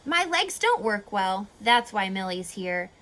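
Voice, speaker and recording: A woman reading a picture book aloud to children like a teacher, expressively, pausing to explain and ask questions. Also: medium pitch. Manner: expressive, instructive, asking questions of young listeners.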